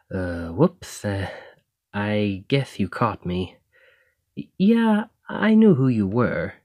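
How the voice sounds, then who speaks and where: A man's voice: fairly low pitch, very animated, drawn-out words, long pauses, a little mellow, dry recording, clean studio sound, heavily acted. A man playing a chatty, cheeky stand-in carriage driver in an audio roleplay, talking casually and awkwardly to the listener with sly insinuation. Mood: coaxing, sheepish. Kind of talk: ASMR roleplay acting, addressing the listener.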